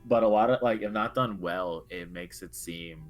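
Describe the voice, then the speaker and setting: A man co-hosting a video game discussion, speaking casually and haltingly as he thinks aloud. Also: sits low, soft background music.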